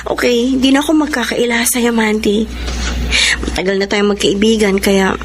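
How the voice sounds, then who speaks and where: A woman's voice: a little high, steady tone, moderate pace, noisy background, close to an everyday voice. A woman acting an earnest, troubled young working daughter in a radio drama, with a Filipino accent. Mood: troubled, confiding. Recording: radio drama with clear music behind. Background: music.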